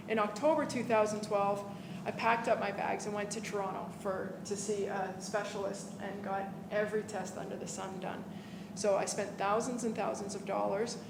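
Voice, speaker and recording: A woman giving a personal testimony to a live audience at an event, earnest and halting, before she introduces the main speaker. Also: medium pitch, fairly even intonation. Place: indoor event hall. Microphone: stand microphone. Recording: echoey room with background noise.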